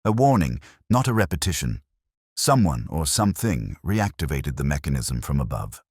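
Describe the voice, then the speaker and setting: A man voicing a shrewd, commanding Victorian detective in a story, calm, grave and deliberate. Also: very deep voice, husky, no echo, studio quality, exaggerated voice. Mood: grave, certain. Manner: terse, deliberate, authoritative.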